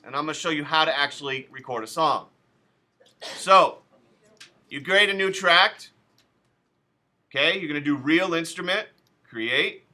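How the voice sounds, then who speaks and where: Male teacher, very bright, slightly high voice, casual step-by-step tutorial delivery. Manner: chatty, loose asides, talks students through each step. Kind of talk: casual classroom software tutorial.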